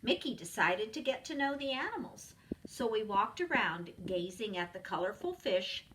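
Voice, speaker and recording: A woman reading a children's story aloud as a preschool teacher would, with expressive narration. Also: mid-range voice, normal speed, far from the mic, a little hiss or hum, low-quality recording.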